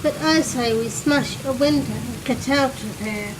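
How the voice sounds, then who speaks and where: A woman's voice: sits high, measured pace, noisy background. A woman voicing a bold, impatient boy trapped in a haunted house in a Halloween radio play. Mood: impatient, determined.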